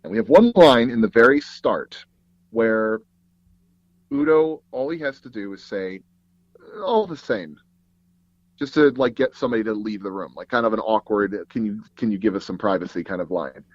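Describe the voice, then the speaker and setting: Animated man storytelling, dull lo-fi sound. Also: fairly low pitch, normal speed, no echo. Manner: animated, acts out dialogue in anecdotes. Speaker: guest, voice actor and audio drama producer. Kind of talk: casual interview storytelling.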